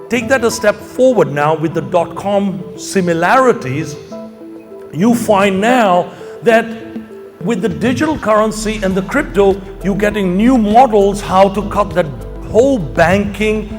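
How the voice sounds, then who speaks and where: A middle-aged man from Kenya giving an informal, emphatic pitch to an audience as a company founder. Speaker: company founder and keynote speaker. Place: large hall, speaking to an audience. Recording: long hall reverb, music behind. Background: music.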